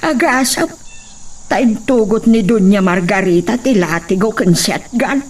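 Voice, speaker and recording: A woman playing a sharp-tongued, bickering member of a household in an Ilocano audio drama. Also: mid-range voice, animated, unhurried, recorded from a distance. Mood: teasing, alarmed. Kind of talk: Ilocano radio-style audio drama acting.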